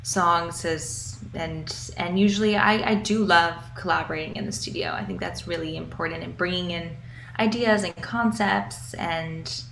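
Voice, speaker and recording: A woman's voice: fairly low pitch, normal speed, creaky, a bit bright. A young woman singer-songwriter with a New York accent answering interview questions over a video call. She is upbeat and enthusiastic, often repeating a word as she gets a thought going. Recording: echoey room, faint background noise.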